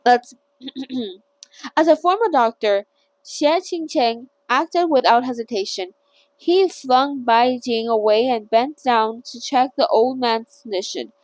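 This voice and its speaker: A woman's voice: fairly high pitch, takes it slowly, no room sound, poor audio. A woman hosting a casual read-along of a novel, chatty and stumbling now and then over hard words. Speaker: channel host reading a novel aloud.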